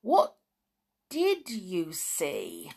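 A woman voicing a sensible, bossy older boy in a storybook, brisk and authoritative but reassuring. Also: on the low side, huge pitch swings, vocal fry, slightly rough, full character voice. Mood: sensible, soothing.

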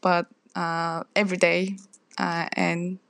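A young woman with a Turkish accent talks slowly and haltingly, English not her first language, filling pauses with little laughs. The sound is dry and close, with no room in it. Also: lowish voice, flat delivery, fairly bright tone, clear, resonant tone, no echo. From Turkey. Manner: hesitant, many fillers and laughs. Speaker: chef, interview guest. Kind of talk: casual interview talk.

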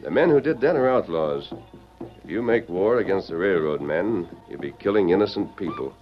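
A man's voice: sits low, lively intonation, moderate pace. An actor playing a heroic masked cowboy lawman in an old radio western, firm, upright and declamatory. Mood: firm, earnest. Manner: commanding, earnest, declamatory. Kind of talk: 1940s radio western acting. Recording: muffled old radio recording with music.

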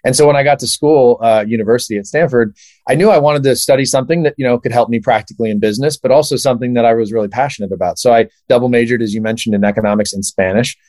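A man's voice: mid-range voice, very fast, a little mellow, dry recording. A man in his thirties from Southern California, answering interview questions eagerly with many fillers. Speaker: guest, startup founder.

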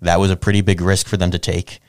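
A man's voice: low voice, flat delivery, fast, a little mellow, dry recording, clean studio sound. A man co-hosting a podcast in casual, conversational talk about films. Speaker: podcast co-host. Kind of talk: casual film discussion chat.

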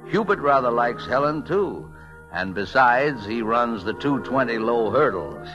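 A man playing a gentle, folksy narrator in a 1940s radio drama, wry and conversational with unhurried asides. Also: medium pitch, expressive, highs cut off, natural voice. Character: gentle, folksy male storyteller watching over a boy. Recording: music under old radio narration.

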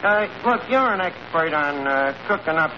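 An actor playing a brash, scheming tavern manager in a 1940s radio comedy, with a New York accent and a bluffing, word-mangling way of talking. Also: male voice, fairly high pitch, animated, normal speed, natural voice. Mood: breezy, bluffing. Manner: bluffing, mangles grammar and fancy words. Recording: noisy muffled old radio broadcast, audience behind.

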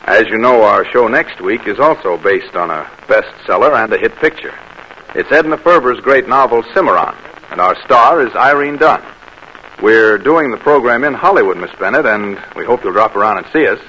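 Bright, polished 1940s radio announcer. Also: male voice, lowish voice, expressive, normal speed, muffled recording, slight background noise. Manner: polished, formal.

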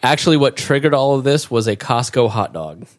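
A man's voice: mid-range voice, animated, fairly quick. A man hosting a podcast in casual chat, telling self-mocking stories while getting over a cold. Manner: joking, self-mocking storyteller. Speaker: podcast host. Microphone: studio mic.

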